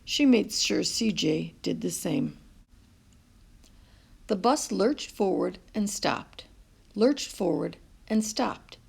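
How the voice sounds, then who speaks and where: A woman reading a picture book aloud to children in an expressive, deliberate storytelling manner. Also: mid-range voice, vocal fry, breathy edge. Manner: animated, deliberate phrasing with pauses.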